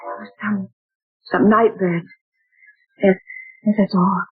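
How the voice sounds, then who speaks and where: An actress playing a genteel woman recounting a ghostly night in 1940s radio drama style, theatrical and breathy. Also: mid-range voice, flat delivery, takes it slowly, very noisy, lightly acted. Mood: frightened. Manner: dramatic, exclaiming. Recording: tinny old radio broadcast.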